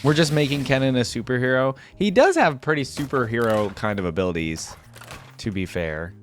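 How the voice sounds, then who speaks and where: Male reaction host, casual and unscripted, lively swings in pitch, faint music behind him. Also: moderate pace. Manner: informal, thinking aloud.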